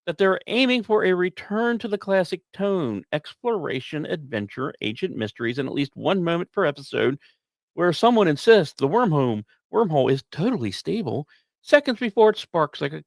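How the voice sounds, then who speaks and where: A man's voice: sits high, creaky, no room sound. A man hosting a podcast, narrating scripted science news with wry, self-deprecating asides and theatrical emphasis. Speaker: podcast host.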